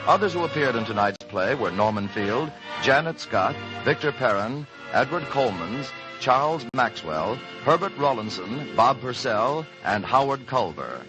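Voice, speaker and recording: Male 1940s radio announcer, formal scripted credits read, lively intonation, music underneath. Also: mid-range voice, normal speed, hardly any pauses, low-quality recording.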